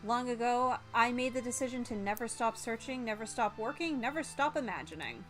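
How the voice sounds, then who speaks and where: A woman voicing a proud, earnest gentleman chef with big dreams in a visual novel. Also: on the high side, lively intonation, a little fast, soft background music. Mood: encouraging.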